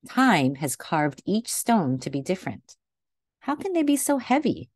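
A woman reading a picture book aloud to children in a gentle, deliberate way, recorded indoors over a video call. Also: mid-range voice, sing-song, no echo, studio quality. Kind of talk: read-aloud storytelling for children.

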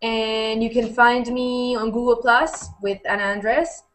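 A woman's voice: fairly high pitch, steady tone, slow. Friendly young woman on a webcam call. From Spain. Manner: friendly, plain-spoken. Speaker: panel guest, physics student. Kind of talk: casual video call chat. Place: room at home. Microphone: webcam video call.

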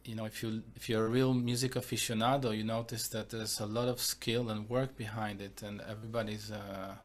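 A man from Argentina who grew up in Israel, a composer talking in a casual video-call interview, reflective and halting with many restarts. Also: fairly low pitch, flat delivery. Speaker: composer and musician, guest. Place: home room, indoors.

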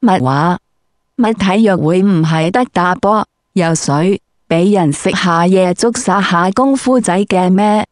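A woman's voice: lowish voice, measured pace, dry recording, studio quality. A woman doing a crude, scripted comic interview in a brash, foul-mouthed way.

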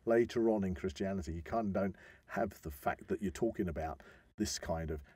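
A man's voice: low voice, fairly quick, mellow, husky, dry recording. A man co-hosting a podcast, telling and explaining an old story informally with rambling enthusiasm. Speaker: podcast co-host explaining a legend.

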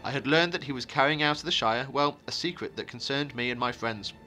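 A secretive wandering man of a fantasy tale, acted out by a male reader. He is calm, wary and commanding, with a brisk, ominous delivery. His mid-pitched voice has a bright, tense edge. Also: faint music behind, close to an everyday voice. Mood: measured, amused.

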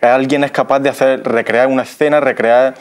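A man's voice: mid-range voice, fairly even intonation, brisk, clean tone. A man, talking as a guest in a studio interview. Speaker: radio theatre member, guest. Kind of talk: interview talk.

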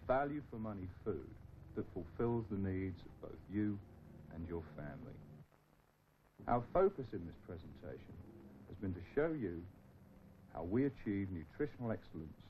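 A middle-aged man giving a scripted presentation as a marketing manager. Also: lowish voice. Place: outdoors beside an office building. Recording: thin phone-like old film sound, noise.

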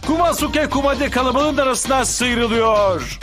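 Very high-pitched, excitable cartoon announcer. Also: male voice, unhurried. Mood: excited. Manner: dramatic, showy. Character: excitable male tournament announcer. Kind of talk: dubbed anime sports commentary. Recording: loud music behind commentary.

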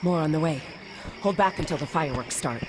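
A woman's voice: sits low, brisk, close to an everyday voice. An actress plays a tough young woman fighting in a video game resistance. She speaks in short, urgent commands. Her delivery is tense, with the sounds of a game battle around her. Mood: terse, guarded. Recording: battle noise and music behind.

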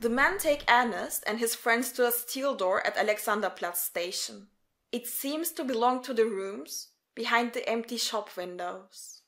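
A woman's voice: fairly high pitch, unhurried, fairly bright tone. A woman reading scripted narration as a storyteller.

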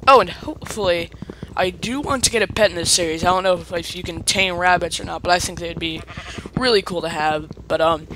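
A man's voice: high voice, a little fast, bright, forceful tone, slight background noise, rough recording. A young man casually commenting on his own gameplay, chatty and self-mocking, with playful asides. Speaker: let's play gamer.

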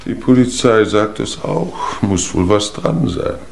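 An actor playing a weary, tipsy old English lord and uncle in a 1950s German radio play, halting and ponderous. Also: male voice, lowish voice, expressive, vocal fry, full character voice. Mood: wry, weary. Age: older.

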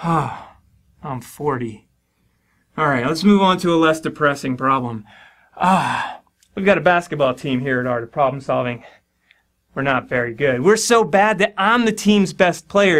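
A man in his forties teaches a math lesson at a board in a studio, talking in a slightly higher than usual voice. He explains each step plainly and slips in dry jokes at his own expense. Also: a bit bright. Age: 40s. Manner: dry self-deprecating humor. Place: studio in front of a dark board.

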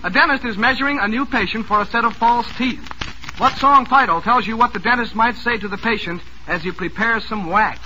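A man's voice: extremely high pitch, a little fast, bright, forceful tone. A man hosting a 1940s radio music quiz, jovial and teasing as he reads out listeners' questions. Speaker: quiz show host. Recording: noisy old radio broadcast.

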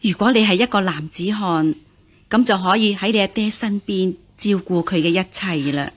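An actress playing a stern but caring middle-aged mother in a historical radio drama, measured and dignified, with a Hong Kong Cantonese accent. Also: mid-range voice, lightly acted. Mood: grave, regretful. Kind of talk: radio drama acting in historical costume piece.

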